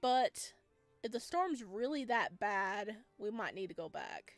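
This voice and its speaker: A woman's voice: medium pitch, animated, unhurried, no room sound. A woman giving chatty, playful commentary on a playthrough, reading lines aloud as she goes. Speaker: game streamer. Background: game music.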